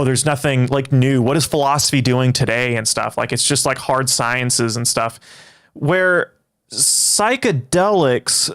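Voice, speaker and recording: A young man, a native Greek speaker, chatting with his livestream audience in a rambling, halting way with many restarts. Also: on the high side, fairly bright tone. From Greece. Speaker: livestream host. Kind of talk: casual livestream chat.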